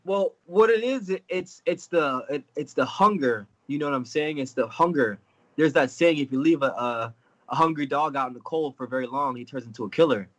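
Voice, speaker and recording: Fighter guest on a video call, a bit stuffy, higher voice, animated joker. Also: male voice, brisk. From Florida. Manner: animated, joking, laughing storyteller. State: stuffy with a cold. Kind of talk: casual interview over video call.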